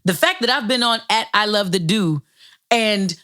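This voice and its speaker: A woman's voice: mid-range voice, expressive, moderate pace, pushed and bright. A woman hosting a show, talking in a hype, playful way and swearing freely in casual chat. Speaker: host.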